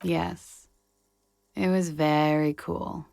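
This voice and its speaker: An actress playing a polite, weary foreign woman in a video game, speaking in a measured, understated deadpan. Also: sits low, creaky, dry recording, exaggerated voice. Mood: dry, measured. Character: reserved, polite, weary foreign woman recounting a rough night.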